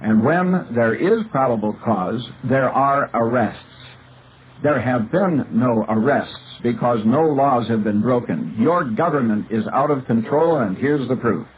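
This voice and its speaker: An American man doing talk radio as a station owner, halting but insistent and lecturing. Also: mid-range voice, lively intonation. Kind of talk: talk radio commentary and phone questioning. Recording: tinny, noisy shortwave radio broadcast.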